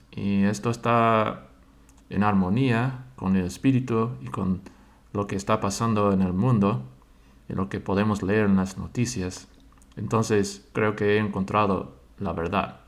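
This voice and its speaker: A man, a church member slowly sharing his religious thoughts and pausing to search for words in a language he learned later. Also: on the low side, fairly even intonation. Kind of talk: slow personal religious monologue.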